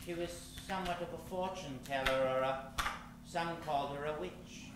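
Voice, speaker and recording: A man narrating an old time radio drama as host, theatrical and suspenseful with halting phrasing. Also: a little high. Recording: very echoey hall, clear background noise.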